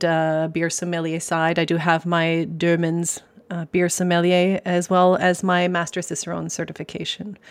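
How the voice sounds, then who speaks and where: A Canadian woman in her forties, a beer specialist talking as a guest on a podcast, chatty and thoughtful with many fillers. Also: lowish voice. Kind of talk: podcast interview.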